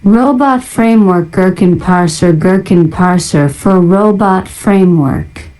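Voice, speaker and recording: Slow, mellow woman narrator. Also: lowish voice, rough recording. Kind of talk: ASMR reading of a list of software libraries.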